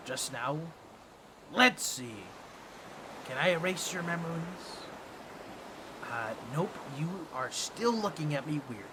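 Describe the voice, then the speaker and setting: A man's voice: a little high, background noise. A man voicing a boastful, scheming octopus merman with a grating, nasal, squawky voice and a pompous, awkward manner in a comedic audio roleplay. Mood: flustered, awkward. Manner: theatrical, pompous, fumbling with awkward asides.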